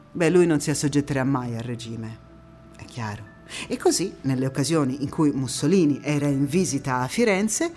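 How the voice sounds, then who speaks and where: A woman playing a proud, defiant anti-fascist partisan who recalls her youth in a theatrical monologue, with measured phrasing and deliberate pauses. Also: low voice, darkish tone. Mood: resolute, proud. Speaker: stage actress in a one-woman monologue. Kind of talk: theatrical first-person storytelling monologue.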